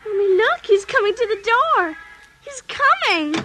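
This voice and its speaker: Squeaky high little kid, all eager wonder, old radio play w music behind. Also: female voice, big pitch swings, a little slow, full character voice. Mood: wonder, excited. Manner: childish, breathless wonder. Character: eager wide-eyed little girl. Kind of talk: 1950s radio acting.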